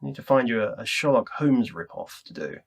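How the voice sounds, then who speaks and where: A man's voice: medium pitch, animated, fairly quick, vocal fry. A man with an English accent hosting an informal interview in a chatty, jokey way. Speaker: podcast presenter. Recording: ambient room microphone.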